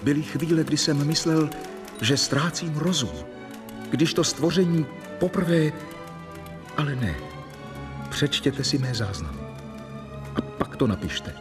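An actor playing an anxious, earnest young surgeon confiding formally in a radio drama letter. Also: male voice, fairly high pitch, animated, long pauses, recorded from a distance, lightly acted. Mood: shaken, halting. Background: faint music.

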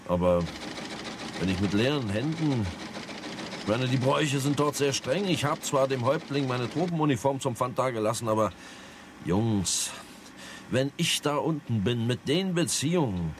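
Boastful adventurer played by a man, grandiose bluster, middle pitch, faint noise behind, 1970s radio drama. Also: expressive, moderate pace, lightly acted. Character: blustering male adventurer boasting of African cocoa deals. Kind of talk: 1970s German radio play acting.

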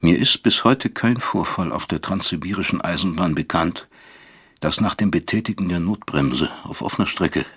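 An actor in a German radio play playing a proud, bitter older East German professor of psychiatry, articulate, lecturing and sardonic. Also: male voice, low voice, a bit bright, slightly rough, exaggerated voice. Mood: grave, resigned.

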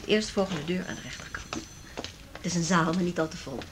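Actress as fast-talking, low-voiced nurse. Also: female voice, close to an everyday voice. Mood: brisk, sceptical. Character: forthright, sceptical female hospital nurse. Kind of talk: Dutch radio play acting. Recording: clearly noisy radio play recording.

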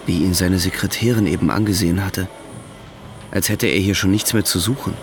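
An actor narrating a literary radio play in a measured, matter-of-fact storytelling manner. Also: male voice, deep voice, steady tone, lightly acted.

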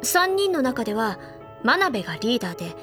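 A woman playing a confident, teasing high-school senior girl who recounts gossip in a feminine storytelling way in an audio drama. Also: very animated, moderate pace, over music. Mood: playful, informative. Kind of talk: anime audio drama dialogue.

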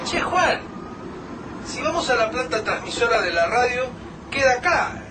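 Radio drama casting: distrustful, anxious Argentine man, colloquial, high-voiced, heard off-mic through noise. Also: sing-song, measured pace, full character voice. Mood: eager. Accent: Rioplatense Argentine Spanish. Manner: colloquial, urgent. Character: Lucas, anxious distrustful man surviving a deadly snowfall. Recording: noisy, distant from the mic.

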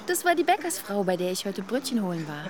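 Wry, lively actress as level-headed woman. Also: mid-range voice, fast talker, a crowd in the background, close to an everyday voice. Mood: cheerful. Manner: conversational, wry, teasing. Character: pregnant woman, level-headed, teasing her grumbling partner. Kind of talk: naturalistic radio drama dialogue.

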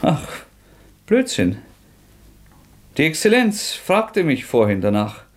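An actor playing a tormented, defiant young murderer in a German radio drama, halting and agitated, moving between whispers and outbursts. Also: male voice, a little high, wildly swooping pitch, heavily acted. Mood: irritated.

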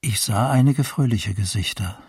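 Raspy, sombre male eyewitness. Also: fairly low pitch, moderate pace, a little mellow, no room sound, clean studio sound, recorded from a distance. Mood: sombre, measured. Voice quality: very raspy, creaky. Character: sombre male Kiev resident recalling the invasion. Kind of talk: radio docudrama testimony.

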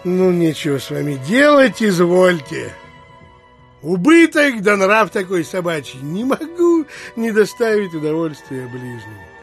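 An actor playing a bearish, crafty, stubborn landowner who grumbles and wheedles in an audio drama. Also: male voice, sits high, big pitch swings, measured pace, faint music behind, heavily acted. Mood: grumbling, resigned. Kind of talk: theatrical audio drama acting.

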